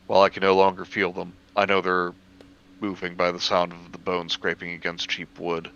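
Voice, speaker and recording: A man reading a first-person horror story aloud in measured narration, stumbling over the occasional word. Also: sits low, monotone, fairly bright tone, highs cut off, a little hiss or hum.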